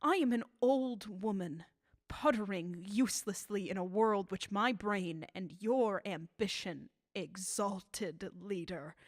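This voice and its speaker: A woman playing an embittered elderly scientist in a radio drama, measured, calm and dryly sardonic. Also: mid-range voice, no echo, clean studio sound. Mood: dry, bitter. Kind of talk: 1930s-style radio drama acting.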